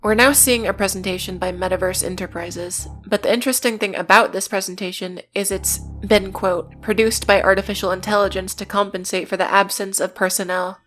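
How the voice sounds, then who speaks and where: Even-toned woman narrating over music. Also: medium pitch, a little fast, hardly any pauses. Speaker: video essayist. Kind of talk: scripted video essay narration with read-out quotes. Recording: music behind the voice. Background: background music.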